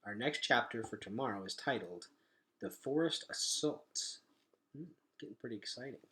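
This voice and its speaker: A man reading a children's story aloud as narrator, in an expressive storytelling style. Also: mid-range voice, moderate pace, low-quality recording.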